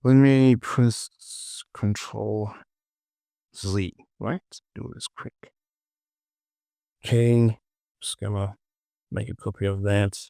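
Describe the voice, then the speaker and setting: Male tutorial instructor, low and unhurried, informal short phrases, close dry recording. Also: steady tone, vocal fry, mellow, no room sound. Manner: informal, muttered short instructions to self and viewer. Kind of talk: casual screen-recorded software tutorial, talking while working.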